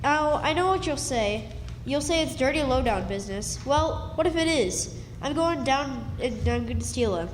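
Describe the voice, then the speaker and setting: A woman's voice: high voice, expressive, moderate pace, a little hiss or hum. A woman playing an earnest, scheming runaway country boy in a radio play, with folksy speech. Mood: urgent, earnest. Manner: folksy, eager. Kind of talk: stage radio drama acting. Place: live stage in a hall. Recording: reverberant hall recording.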